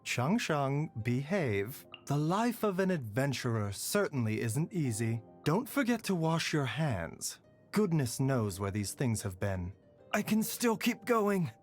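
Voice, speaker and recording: A man voicing a gentle, frail doctor in a video game, measured, polite and softly wry. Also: mid-range voice, big pitch swings. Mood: calm, wry. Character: gentle, frail male doctor, calm and courteous. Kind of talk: scripted video game character lines. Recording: music behind the voice.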